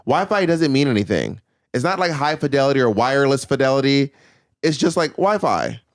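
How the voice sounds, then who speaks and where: A man in his thirties co-hosting a casual podcast, animated and laughing often, on a handheld mic in a studio. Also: medium pitch. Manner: animated, laughing, quick asides. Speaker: co-host. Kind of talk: casual chat. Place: pink studio set, indoors.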